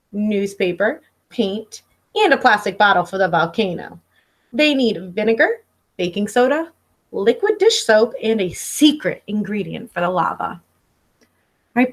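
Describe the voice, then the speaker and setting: A woman in her thirties reading a picture book aloud as a teacher, expressively and instructively, speaking directly to young listeners. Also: mid-range voice. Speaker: elementary school teacher. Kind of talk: read-aloud storytelling for children. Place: living room at home.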